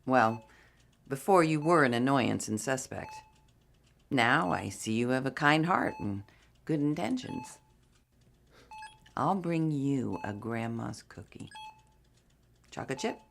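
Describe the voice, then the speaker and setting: An actress playing a breezy, kindly hospital nurse in an audio drama. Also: female voice, rumbling low, animated, slow, vocal fry, pauses often, heavily acted.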